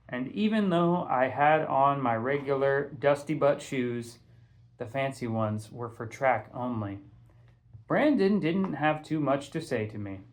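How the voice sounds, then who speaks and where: A man reading a first-person story aloud in an expressive, casual, conversational way. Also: mid-range voice. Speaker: audiobook reader, teacher.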